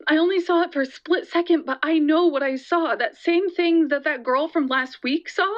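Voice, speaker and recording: A high, young female voice, performed by an actress, comes through a slightly dull lo-fi line. She is a haunted, guilt-ridden caller in an audio drama, confessing earnestly and haltingly, leaning on repeated phrases. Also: natural voice. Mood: insistent, distressed. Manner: halting, earnest, emphatic repetition, sighing.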